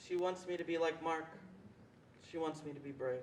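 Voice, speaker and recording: A man's voice: high-pitched, moderate pace, darkish tone, natural voice. A young man playing an anxious, self-doubting boy looking back on school in a reader's theatre performance. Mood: anxious, confessional. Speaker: student performer. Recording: echoey, distant mic, faint noise.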